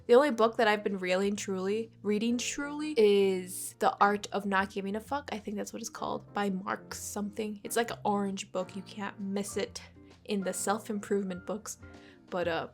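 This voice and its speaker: A woman's voice: medium pitch, steady tone, moderate pace, faint music behind. A congested woman in her twenties chatting casually and rambling while she sketches, swearing often, recorded at home on a desk microphone. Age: 20s. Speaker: art vlogger. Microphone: USB desk microphone.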